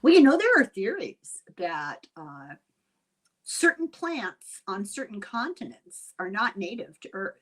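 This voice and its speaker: A woman's voice: mid-range voice, very animated, measured pace. An older woman hosting a casual interview, asking inquisitive questions and wandering into asides as she talks. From Ireland. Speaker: host and interviewer.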